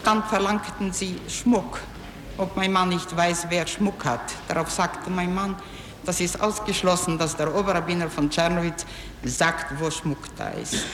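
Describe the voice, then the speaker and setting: A woman's voice: on the low side, steady tone, unhurried. A woman recounting events as courtroom testimony in an archival recording. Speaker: trial witness. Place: large courtroom. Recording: reverberant archival courtroom, faint noise.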